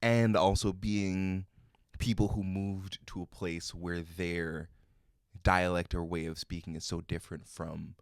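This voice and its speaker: A young man with a very low, deep voice and crisp, deliberate enunciation. He speaks in measured, proper sentences in a relaxed podcast conversation. The sound is close and dry, with no room echo. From Minnesota, USA. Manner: formal, carefully enunciated, measured phrasing. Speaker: podcast guest, musician and producer. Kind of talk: casual podcast chat.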